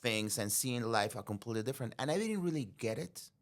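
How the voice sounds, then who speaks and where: A man's voice: mid-range voice, lively intonation. Male podcast host, casual and chatty, supportive interviewer. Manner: chatty, affirming, quick to agree.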